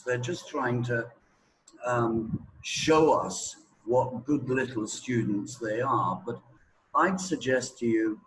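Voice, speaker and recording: A middle-aged man giving opinionated, deliberate answers with long pauses in a conversational interview. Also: medium pitch, a little hiss or hum, poor audio. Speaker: English teaching expert being interviewed.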